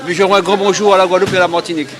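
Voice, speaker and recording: A man's voice: sits high, steady tone, very fast. A man from the French Antilles, a customer chatting casually in a vox pop. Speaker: customer interviewed. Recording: busy crowd behind.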